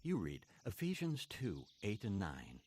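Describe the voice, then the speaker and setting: Lively, devout young believer. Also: male voice, lowish voice, a little slow, noisy background. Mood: earnest, reassuring. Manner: earnest, reassuring. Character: kind, devout young man sharing scripture. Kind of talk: radio drama acting.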